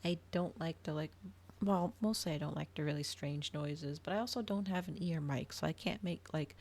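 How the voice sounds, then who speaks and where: A woman livestreamer chatting casually in a soft ASMR style, often dropping toward a whisper. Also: sits low, moderate pace. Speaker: livestreamer hosting an ASMR reading stream.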